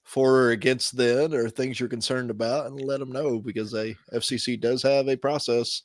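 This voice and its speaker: A man's voice: mid-range voice, fairly even intonation, moderate pace, dry recording. A man co-hosting a casual tech chat in an informal conversational style. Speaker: podcast co-host.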